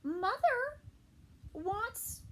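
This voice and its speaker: A woman voicing a fussy young mother, speaking in a high, fluttery, exclamatory way. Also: very animated, drawn-out words, full character voice, low-quality recording. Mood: busy. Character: fussy young mother, busy berry picker.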